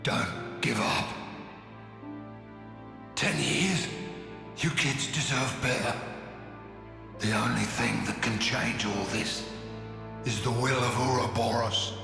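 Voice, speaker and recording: Weary older mentor, gravelly, slow, grave, long reverb, game cutscene with music. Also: medium pitch. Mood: grave urging. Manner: grave, urging. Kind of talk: video game cutscene acting. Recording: heavy echoing reverb, music behind.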